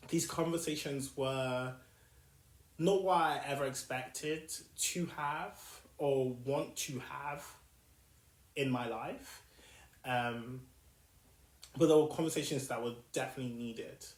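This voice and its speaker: A young man with a South London accent speaks at a medium pitch, thinking aloud as he hosts his own podcast. He pauses often, restarts his sentences and searches for words. The room around him sounds echoey. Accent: South London English. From South London, England. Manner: thoughtful, with frequent fillers and restarts. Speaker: podcast host. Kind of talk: reflective solo podcast monologue.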